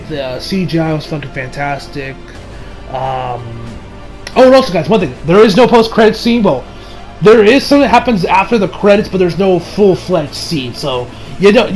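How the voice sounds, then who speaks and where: High-pitched man reviewing casually over music. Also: darkish tone, poor audio. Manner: informal, emphatic, sweary. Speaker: movie reviewer. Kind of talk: casual unscripted movie review. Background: background music.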